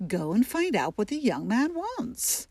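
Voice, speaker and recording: A woman's voice: on the high side, very animated, measured pace, darkish tone, slightly rough, dry recording, studio quality, exaggerated voice. A woman playing a haughty, scolding old aunt in an audio drama. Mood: stern. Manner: imperious, scolding. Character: haughty, grumbling old aunt chaperoning her nieces.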